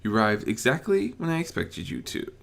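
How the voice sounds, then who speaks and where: Guy doing a calm fatherly god of order in armor, soft spoken n courteous, audiobook style, pitch moves around a lot. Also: a little fast, vocal fry. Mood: gentle, welcoming. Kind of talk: fan fiction audiobook character reading.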